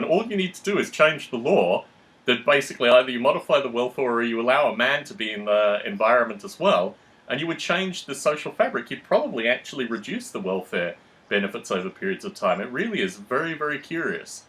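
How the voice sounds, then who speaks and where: A man's voice: medium pitch, lively intonation, a bit bright, poor audio. Analytical man talking at length. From Australia. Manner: articulate, analytical, measured phrasing with formal wording. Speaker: podcast host. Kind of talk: reflective discussion, long explanatory monologues.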